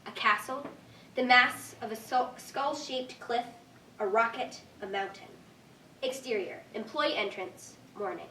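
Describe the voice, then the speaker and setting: A woman's voice: on the high side, measured pace, sharp tone. Young woman reading aloud in an echoey room. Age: young adult. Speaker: stage-directions reader. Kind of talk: scripted narration, read aloud. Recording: echoey room, distant mic, faint noise.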